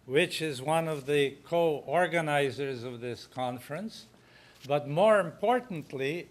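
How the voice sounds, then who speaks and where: A man giving a talk at a conference, speaking loudly and projecting to the hall. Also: fairly high pitch. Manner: unhurried, loud and projecting.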